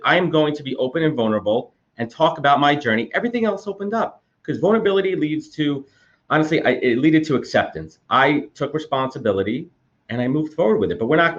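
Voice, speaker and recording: A man's voice: medium pitch, fairly bright tone, lo-fi sound. A man hosting a podcast, a little congested from a cold, talking eagerly and repeating words as he goes. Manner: rapid, enthusiastic, with frequent repeated words. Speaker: podcast host. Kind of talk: conversational interview.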